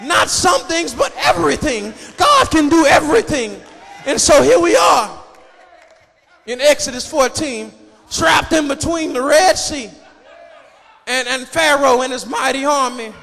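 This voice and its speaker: A man's voice: very high-pitched, lively intonation, measured pace, vocal fry, pushed and bright, shouting, faint music behind, some chatter behind. A man preaching a fervent sermon in an emphatic, repetitive cadence that leans on repeated phrases. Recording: echoey hall reverb with congregation noise.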